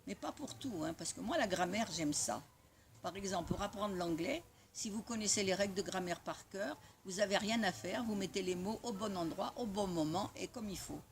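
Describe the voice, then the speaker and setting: A woman whose first language is Italian, telling lively, wry stories about her own schooling. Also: mid-range voice, normal speed, a little hiss or hum. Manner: lively, wry, spirited storyteller. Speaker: spiritual community member sharing a testimony. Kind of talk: personal storytelling after a morning talk.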